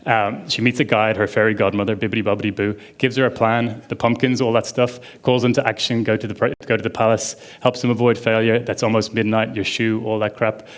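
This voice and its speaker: A man's voice: lowish voice, flat delivery, fairly quick, hardly any pauses. A man giving a casual conference talk on stage, chatty and enthusiastic, with lots of fillers. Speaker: conference speaker. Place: conference stage before an audience.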